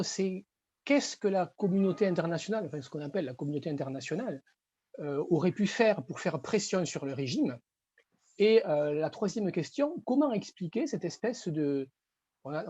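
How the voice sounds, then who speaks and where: A man's voice: high-pitched, darkish tone, slightly rough, no room sound. A man from the audience, hesitant and polite, asking questions during a film discussion. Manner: hesitant, polite, many fillers.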